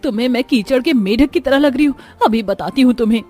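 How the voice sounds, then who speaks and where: A woman's voice: a little high, quick pace, dark tone, natural voice. A woman voicing young village daughters-in-law in a moral-story cartoon, theatrical and exclamatory as they bicker and complain. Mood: indignant.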